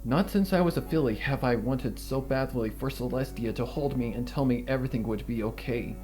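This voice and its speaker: A man's voice: mid-range voice, a little fast, darkish tone. Brooding male story narrator over music. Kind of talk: dramatic first-person fan fiction narration. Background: guitar music.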